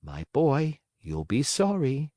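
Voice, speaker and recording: A man voicing a patient, gentle motherly fairy who coaxes softly. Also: mid-range voice, wildly swooping pitch, takes it slowly, dry recording, clean studio sound, voice pushed up. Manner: gentle, coaxing.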